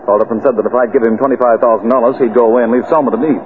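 An actor playing a troubled, bitter, lovelorn gentleman, earnest and brisk, in old radio drama style. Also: medium pitch, fairly even intonation, close to an everyday voice. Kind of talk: 1930s-40s radio drama acting. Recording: scratchy, tinny old radio broadcast.

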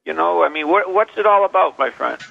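An American man hosting a casual radio chat in a blunt, joshing way, with a gravelly edge, over a muffled, reverberant feed. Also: a little high, lively intonation, brisk. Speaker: radio show host.

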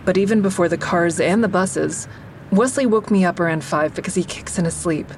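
A woman's voice: on the low side, brisk, slight background noise, natural voice. An actress playing a female comic, narrating her love life in an intimate, wry way. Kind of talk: first-person audio drama narration.

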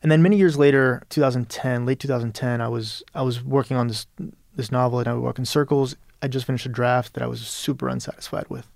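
A man's voice: mid-range voice, steady tone, fast talker, creaky, a little mellow, no echo, clean studio sound. A man from Peru talking as an interview guest, rambling with many restarts and "you know". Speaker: interview guest, novelist and radio producer. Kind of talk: casual interview conversation.

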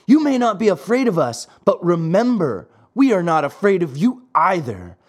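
A man's voice: high voice, big pitch swings, moderate pace, voice pushed up. A man voicing sneering, bullying schoolboys in a storybook, jeering and scolding. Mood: threatening. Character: gang of sneering, bullying schoolboys, threatening and teasing.

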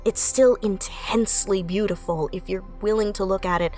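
A woman's voice: medium pitch, steady tone, normal speed, darkish tone, faint music behind. A woman in her late twenties speaking earnestly and effusively as a writer in a reflective interview. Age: late 20s. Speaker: audio drama writer and voice actor.

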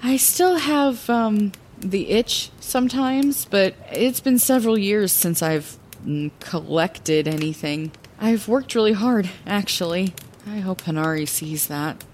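A woman playing Zellera, a scrappy, chatty lighthouse keeper, telling a fantasy audio drama bedtime story with hesitant, expressive asides. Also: medium pitch, slight background noise.

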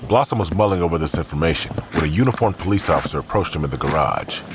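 Male narrator, very deep pitch, scripted drama narration, noticeable ambient noise behind. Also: animated, fast. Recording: clearly noisy background ambience.

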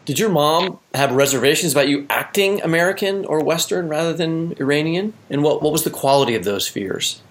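A man's voice: fairly high pitch, animated, a little fast, few pauses. A man speaking as a podcast host in a literary interview, putting questions to an author.

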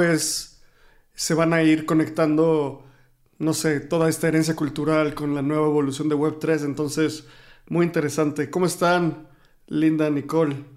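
A man's voice: on the high side, darkish tone. A man co-hosting a podcast, asking guests questions in a friendly, chatty way. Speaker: co-host.

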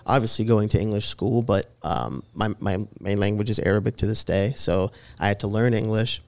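Creaky low-voiced young man, rambling. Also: brisk. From Jordan. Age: 20s. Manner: rambling, many fillers like "uh" and "like". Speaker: podcast guest. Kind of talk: casual interview chat.

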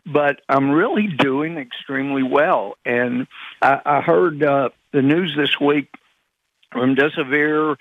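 A man from East Texas, slightly raspy, chatting in a folksy way full of fillers as a guest. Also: a little high, slow, dry recording. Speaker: congressman guest. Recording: dull lo-fi line.

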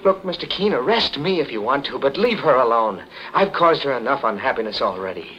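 An actor playing an earnest, wounded young playwright, emotional and pleading in the style of 1940s radio melodrama. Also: male voice, medium pitch, lively intonation, brisk, natural voice. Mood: defiant. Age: young adult. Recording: muffled vintage 1940s radio recording.

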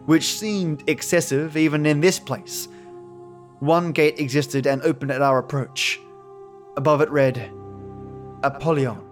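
A man narrates scripted horror fiction in the first person. His voice sits a bit high for a man, and the read is dramatic. Music plays softly beneath him. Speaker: storyteller. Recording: voice over background music.

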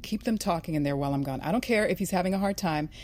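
Woman playing a low, hard-nosed special agent. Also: rapid-fire, a little mellow, natural voice. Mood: terse, businesslike. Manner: terse, authoritative. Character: hard-nosed, suspicious female federal agent. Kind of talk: audio drama acting.

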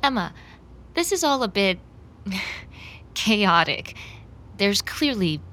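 An actress playing a composed, politely evasive young woman in an audio drama, measured and apologetic. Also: mid-range voice, very animated, bright, forceful tone, a little hiss or hum, full character voice. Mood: awkwardly polite. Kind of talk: audio drama acting, contemporary dialogue.

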